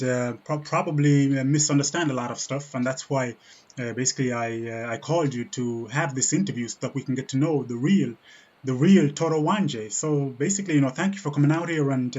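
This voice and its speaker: A man hosting a casual interview, speaking informally and haltingly with many fillers. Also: mid-range voice, darkish tone. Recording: dull, muffled lo-fi sound.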